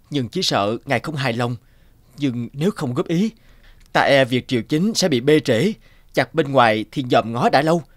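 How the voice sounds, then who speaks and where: An actor playing an upright, loving young court official and husband in a cartoon folk tale, speaking earnestly and formally. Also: male voice, medium pitch, no echo, natural voice. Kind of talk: animated folk-tale dialogue.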